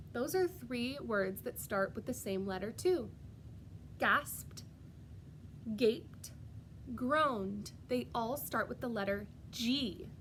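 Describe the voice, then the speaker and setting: Slow, high-voiced woman reading to preschoolers. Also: slight background noise. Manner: expressive, sing-song, addressing children directly. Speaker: preschool teacher reading aloud. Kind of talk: animated storybook read-aloud with questions to young children.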